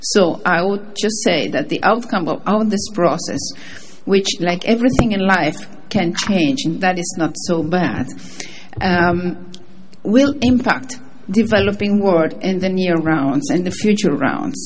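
A woman's voice: lowish voice, unhurried, rough recording. A native Spanish-speaking woman with a Spanish accent, giving informal remarks as a panelist. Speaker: panelist, government delegate.

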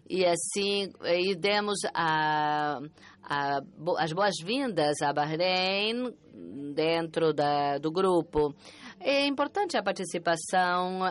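A woman working as a conference interpreter, interpreting a talk with an uneven pace that rushes and then slows, pausing and restarting often. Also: low-pitched. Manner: uneven pace, alternately rushing and slowing.

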